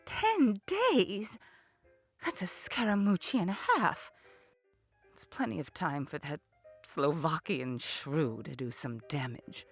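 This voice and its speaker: A woman's voice: low voice, lively intonation, measured pace, slightly rough, faint music behind, full character voice. A woman playing an imperious, spoiled rich heiress in a comic audio drama, with a melodramatic manner. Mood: haughty, alarmed.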